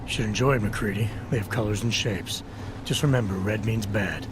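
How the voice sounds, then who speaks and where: An actor playing a stoic augmented special agent in a video game, speaking in a laconic, dry deadpan. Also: male voice, lowish voice, a little fast, background noise, close to an everyday voice. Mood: dry, wry. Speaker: field operative. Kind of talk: video game cutscene acting.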